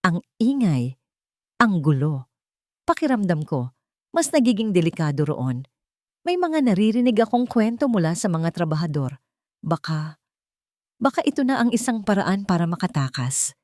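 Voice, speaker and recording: A woman narrator plays an exhausted, fearful diarist in an audio drama story. The confession comes haltingly in short phrases, with a fairly low voice that rises and falls expressively, recorded dry. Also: sing-song, a little mellow, no echo. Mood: weary, fearful. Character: weary, frightened adult writing a last journal entry. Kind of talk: dramatic reading of a journal.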